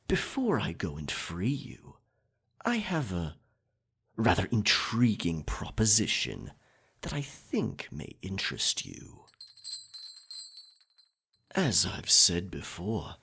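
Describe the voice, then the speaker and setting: A man playing a dominant, possessive pirate captain in an intimate ASMR roleplay, teasing and sly, with a raspy, breathy voice. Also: a bit bright, exaggerated voice. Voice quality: raspy, breathy, gravelly low voice. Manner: slow, deliberate, teasing pirate speech.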